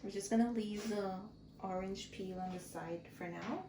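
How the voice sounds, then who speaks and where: A woman hosting a cooking channel, explaining each step in a slow, friendly way from her home kitchen. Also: medium pitch, noisy background. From Guyana. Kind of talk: casual cooking tutorial. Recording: echoey kitchen with clattering pots.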